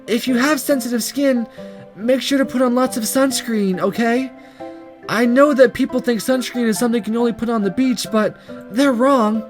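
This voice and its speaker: A man voicing a gentle, worried, motherly schoolgirl class representative in a visual novel read aloud. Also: very high voice, steady tone, normal speed, soft background music, pitched above the performer's own voice. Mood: caring, fussing.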